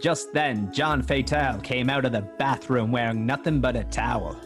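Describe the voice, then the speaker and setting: A man's voice: medium pitch, normal speed. Male mock-noir narrator over music.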